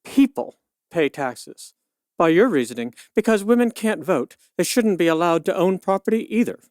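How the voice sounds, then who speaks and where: A woman playing a firm, sharp-witted suffragist attorney of 1911, arguing with pointed retorts in a radio play. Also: fairly low pitch, expressive, moderate pace, dry recording, clean studio sound, natural voice. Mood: firm, reasoned. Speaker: debate guest. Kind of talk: pseudo-radio play, argumentative debate.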